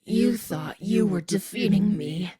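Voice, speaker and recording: Woman voicing a husky evil spirit. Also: warm tone, no echo. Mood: menacing. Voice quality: low, husky and raspy. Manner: slow, cold and threatening. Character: cold menacing evil spirit possessing a teenage girl.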